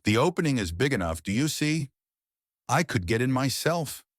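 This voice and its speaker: A man's voice: medium pitch, very animated, normal speed, dry recording, studio quality, voice pushed up. A man voicing a wicked, falsely kind old witch woman in a storybook, with a thin voice, coaxing and commanding. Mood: scornful, commanding. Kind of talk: storybook character voice.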